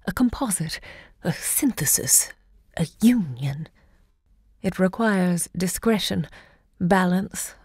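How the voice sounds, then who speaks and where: An actress playing a grand, impassioned woman who hosts a literary salon, looking back in deliberate, theatrical monologue. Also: lowish voice, a little mellow, husky, no echo, studio quality. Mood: persuasive, confiding. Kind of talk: theatrical audio drama monologue.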